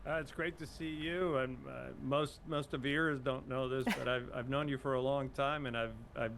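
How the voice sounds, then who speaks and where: A man's voice: mid-range voice, steady tone, normal speed, background noise. An older man from Cuba, a guest in an interview, speaking modestly with many fillers and restarts. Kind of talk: casual interview talk.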